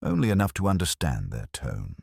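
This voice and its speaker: Reserved female academic read by a male narrator, very deep register, composed and measured, detective audio drama, dry sound. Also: big pitch swings, gravelly, a little mellow, slightly rough, no echo, heavily acted. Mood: calm, reserved.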